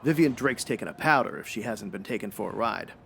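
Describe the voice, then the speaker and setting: Gravelly hard-boiled private eye played by a man. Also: on the low side, animated, quick pace, fairly bright tone, a little hiss or hum. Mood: grim, matter-of-fact. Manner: clipped, slangy, wisecracking. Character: hard-boiled male private detective, brusque, slangy. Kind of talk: noir radio drama comedy acting.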